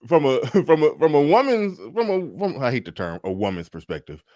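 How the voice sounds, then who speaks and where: A man hosting a casual panel discussion, relaxed and repeating words as he thinks aloud. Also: a little high, a little fast, no room sound, clean studio sound. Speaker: host. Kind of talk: casual panel talk show.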